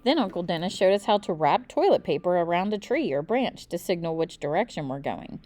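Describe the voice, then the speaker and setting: Animated, slightly low-voiced woman reading a storybook. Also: brisk. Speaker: storybook reader. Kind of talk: expressive children's book read-aloud.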